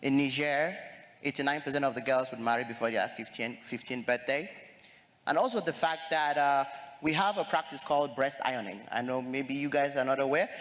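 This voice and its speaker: A man's voice: medium pitch, brisk, fairly bright tone. A young man from Cameroon speaking earnestly as a conference panelist, with frequent fillers and restarts. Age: young adult. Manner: earnest, hesitant with many fillers. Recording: long reverb of a large hall.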